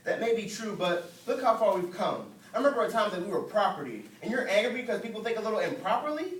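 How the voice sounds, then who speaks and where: A man's voice: high voice, very animated, quick pace. A man performing slam poetry as a spoken word poet, with a rhythmic, rhyming, emphatic delivery. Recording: roomy, distant mic, faint noise.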